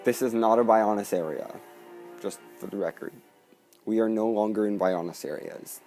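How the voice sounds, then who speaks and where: A man's voice: on the low side, normal speed, darkish tone. A man giving casual let's play commentary over a video game. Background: faint game music.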